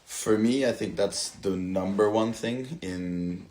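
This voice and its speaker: A man from Italy who works as a stunt performer, chatting casually at home about his career with hesitant pauses, fillers and restarts. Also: on the low side, darkish tone, reverberant. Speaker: stunt performer, podcast guest. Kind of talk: casual interview chat.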